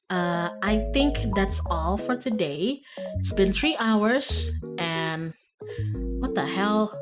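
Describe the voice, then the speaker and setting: A woman streamer chatting casually with her viewers in a playful, giggly, rambling manner. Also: medium pitch. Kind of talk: casual livestream chat with viewers. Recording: music behind the voice. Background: background music.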